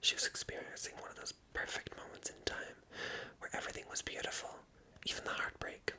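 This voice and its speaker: An ASMR host speaks entirely in a whisper, very crisp and bright in tone. The delivery is hushed, gentle and breathy, suited to reading a bedtime story aloud. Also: brisk, rough recording.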